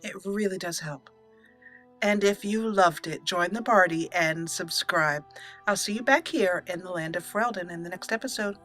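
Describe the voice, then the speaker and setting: A woman's voice: lowish voice, moderate pace, a bit bright, faint music behind. A woman hosting a roleplay let's play, chatting expressively and directly to her viewers. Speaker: host of a gaming channel.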